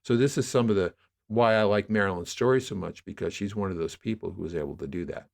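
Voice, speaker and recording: A man with a fairly low voice talks as a podcast guest. He explains his creative work in a conversational, wordy way, often circling back to rephrase a thought. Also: quick pace, gravelly. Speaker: podcast guest, audio drama producer.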